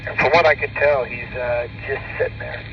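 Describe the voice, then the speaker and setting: A man's voice: medium pitch, fairly even intonation, fairly quick, far from the mic. A man talking in clipped, procedural police radio traffic as an air-unit officer. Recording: noisy muffled police radio, vehicle ambience.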